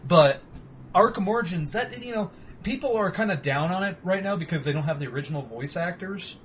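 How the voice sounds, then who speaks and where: A man's voice: sits high, animated, brisk, slight background noise. Rambling, profane young man on a podcast. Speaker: podcast co-host. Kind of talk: casual chat. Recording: dull lo-fi home recording.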